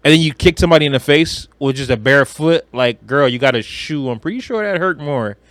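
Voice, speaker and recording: A man with a notably high voice talks as a podcast co-host in casual film chat. He is blunt and animated, leans on slang and swearing, and the sound is dry and close. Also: fairly quick, no room sound. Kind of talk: casual chat about films.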